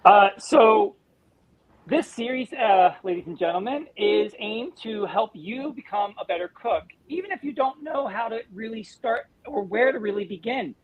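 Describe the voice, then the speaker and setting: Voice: medium pitch, lively intonation, moderate pace, a little hiss or hum. A host casually moderating a live video chat and introducing the other speakers. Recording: tinny phone-like video call.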